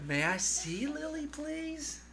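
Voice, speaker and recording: An earnest, smitten high school boy acted by a woman from a 1940s radio comedy. The voice is high and swings widely in pitch, stammering, dreamy and pleading. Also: sing-song, slow, a bit bright, a little hiss or hum, heavily acted. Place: live on stage in a small theater.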